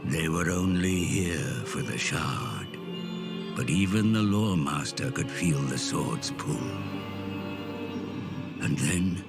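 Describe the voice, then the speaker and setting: Game cutscene narrator: grave, theatrical male chronicler, low-pitched, under loud music. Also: expressive, vocal fry, exaggerated voice. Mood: solemn, grave. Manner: portentous, measured, theatrical. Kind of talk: solemn dramatic scripted narration of fantasy game cutscenes. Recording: loud orchestral music behind. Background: loud cinematic game music.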